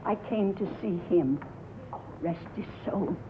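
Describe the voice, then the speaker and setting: Woman acting earnest older lady fan, sentimental, emphatic, medium pitch; old movie track, narrow and tinny. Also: slow pace, close to an everyday voice. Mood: mournful, devoted. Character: devoted, sentimental older female radio fan. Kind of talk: 1950s film acting, street interview. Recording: tinny, noisy 1950s film soundtrack.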